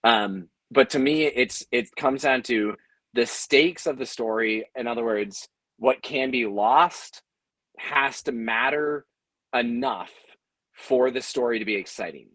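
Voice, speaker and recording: A man speaking as an audio drama creator in a conversational panel discussion, thinking aloud in a halting, thoughtful way with repeated words. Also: medium pitch, a bit bright, dry recording, low-quality recording.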